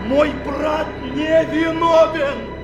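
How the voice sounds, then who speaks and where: Anguished, very high-voiced executioner. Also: male voice, fairly even intonation, extremely slow, dull audio, exaggerated voice. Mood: anguished, pleading. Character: anguished male executioner, desperately refusing, protective elder brother. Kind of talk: radio play acting. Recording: echoey studio, music behind.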